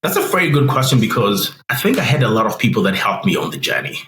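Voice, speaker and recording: A man in his forties, a guest telling his career story in an animated way and acting out quoted voices. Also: mid-range voice, quick pace. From Zimbabwe. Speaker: guest business analyst. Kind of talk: interview storytelling. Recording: roomy with faint noise.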